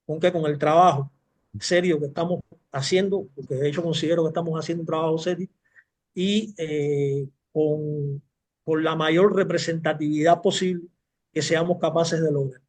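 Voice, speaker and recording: A man explaining a political position formally and deliberately as a coalition representative on a panel. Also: on the high side, fairly even intonation, a little mellow.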